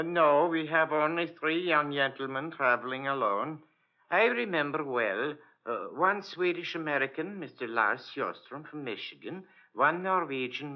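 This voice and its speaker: An actor playing an obliging ship's purser with a Scandinavian accent, speaking polite, halting English in 1940s radio drama style. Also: male voice, on the high side, expressive, close to an everyday voice. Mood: polite, hesitant. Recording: dull old radio recording.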